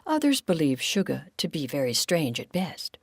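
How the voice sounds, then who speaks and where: Woman playing chatty female ladybugs, storybook, kindly, eager, lively intonation, breathy. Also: low-pitched, moderate pace, no room sound, exaggerated voice. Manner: chatty, explaining. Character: eager, kindly lady ladybugs speaking in unison.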